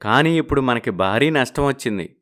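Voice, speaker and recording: A man playing a worried, earnest businessman husband in an animated story. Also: medium pitch, brisk, close to an everyday voice. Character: worried young businessman husband living abroad.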